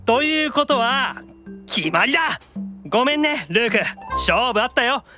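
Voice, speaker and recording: A man voicing an excitable, theatrical young demon who stammers when flustered, in an anime-style audio drama. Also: very high voice, normal speed, over music. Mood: cocky, then shocked.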